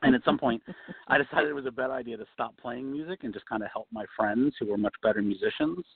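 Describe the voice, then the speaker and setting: A man being interviewed as a guest designer, relaxed, talking with many you-knows and ums. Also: medium pitch, fast talker, slightly rough, dry recording. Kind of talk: casual interview answers. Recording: tinny phone-line sound.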